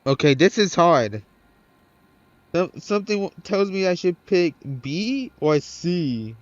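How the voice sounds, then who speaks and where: A man's voice: high-pitched, very animated, slow pace, no echo, muffled recording. A man doing casual let's play commentary, thinking aloud hesitantly and swearing now and then. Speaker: gaming streamer.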